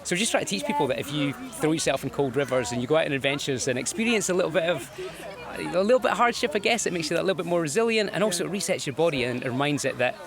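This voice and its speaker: A man's voice: a little high, lively intonation, racing, creaky, a crowd in the background. A man working as a fitness coach, explaining his ideas in an enthusiastic, persuasive way. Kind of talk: casual explanation.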